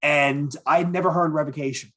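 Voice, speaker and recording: A man's voice: a little high, steady tone, moderate pace, fairly bright tone. A man co-hosting a podcast in casual, profane, enthusiastic chat about what he is a fan of. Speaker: podcast co-host.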